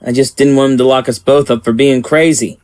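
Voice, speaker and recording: A man's voice: mid-range voice, fairly even intonation, fairly quick, a little mellow, dry recording, studio quality, close to an everyday voice. A man playing an earnest, protective small-town sheriff in an audio drama, with a folksy, plain-spoken manner. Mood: grave, earnest.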